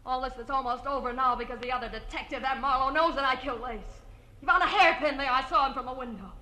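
A woman's voice: high voice, fast talker, sharp tone. An actress playing a desperate, vengeful woman killer in an old radio melodrama, overwrought and intense. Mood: bitter, doomed. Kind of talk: melodramatic mid-century radio acting. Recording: noisy vintage radio recording.

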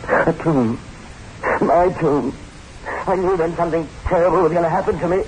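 An actor playing a fearful, trapped older husband in a theatrical, halting 1960s radio horror style. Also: male voice, a little high, natural voice. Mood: haunted dread. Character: trapped, panicking older husband narrating his ordeal. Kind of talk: 1960s radio horror acting, first-person narration. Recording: very noisy old 1960s radio recording.

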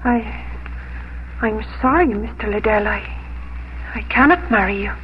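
Gentle, firm young unmarried daughter, played by a woman, earnest and composed, on a muffled radio recording with faint noise. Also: medium pitch, a little slow, natural voice. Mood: apologetic, gentle. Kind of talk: 1950s radio drama acting.

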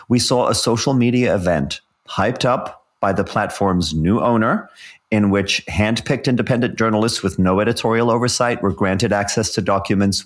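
A middle-aged man with a lowish voice delivers podcast commentary. He is from South Africa and speaks in articulate, wordy sentences with a wry edge, as a co-host who moves between prepared script and asides.

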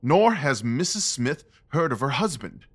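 Intense detective gentleman, restless n commanding, formal speech, audio drama, medium pitch. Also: very animated, measured pace, husky. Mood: frustrated, restless.

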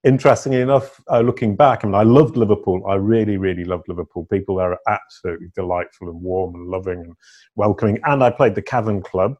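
A man's voice: on the low side, fast talker, dull audio. A middle-aged man, a guest telling stories in a casual interview with playful emphasis. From England. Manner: animated storyteller, playful emphasis.

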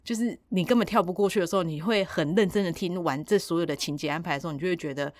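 A woman with a Taiwanese Mandarin accent hosts a casual podcast chat. Her voice sits a bit low and she talks quickly, in an animated, opinionated way. The sound is dry, with hardly any room to it. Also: dry recording. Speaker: podcast host.

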